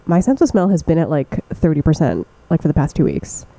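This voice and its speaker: A woman's voice: low-pitched, big pitch swings, a little fast, warm tone, rough recording. A woman co-hosting a podcast in casual chat, congested and a little nasal, laughing often and filling her talk with "like". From US East Coast. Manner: chatty, laughs often, lots of "like". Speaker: podcast co-host.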